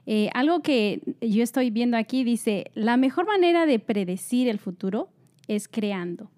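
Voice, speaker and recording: A woman's voice: a little high, animated, measured pace, a little mellow. A woman co-hosting a panel talk, speaking in an upbeat, encouraging way. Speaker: co-host.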